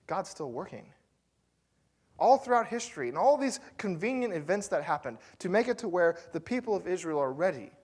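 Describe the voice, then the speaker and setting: A man preaching a sermon as pastor, telling the story in a loose, conversational way with fillers and asides to the congregation. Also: sits high.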